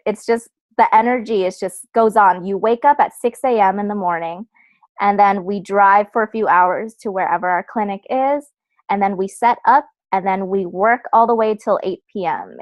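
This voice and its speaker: A woman's voice: mid-range voice, normal speed, no echo, highs cut off. A young woman speaking as a guest, telling about volunteer work in a casual, chatty way full of "like" and "so". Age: young adult. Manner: chatty, uses many fillers like "like" and "so". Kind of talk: casual personal talk.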